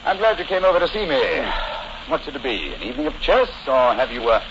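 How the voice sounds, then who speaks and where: An actor playing a shrewd, amused gentleman detective with an English accent, urbane and clipped in a 1940s radio drama. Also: medium pitch, very animated, fast talker, lightly acted. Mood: genial, amused. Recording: noisy, dull old 1940s radio recording.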